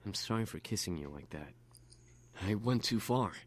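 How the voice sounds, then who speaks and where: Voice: deep voice, lively intonation, normal speed. An actor playing a brash, impulsive, hot-headed young man in a visual novel, cocky and defensive. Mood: grudging.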